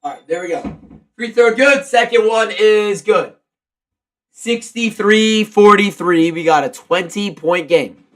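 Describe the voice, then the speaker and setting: A man with a stuffy, congested voice doing live basketball play-by-play with casual, excitable reactions. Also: sits high, a little slow. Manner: excitable, shouts on big plays. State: congested from a cold. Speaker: live play-by-play commentator and streamer.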